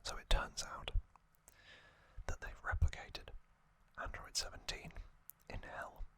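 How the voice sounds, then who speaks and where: Breathy whisper, very slow pace, ASMR host, gentle phrasing, lingering pauses, close mic. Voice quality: soft breathy whisper, mostly unvoiced. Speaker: host of a whispered trivia channel. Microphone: close microphone.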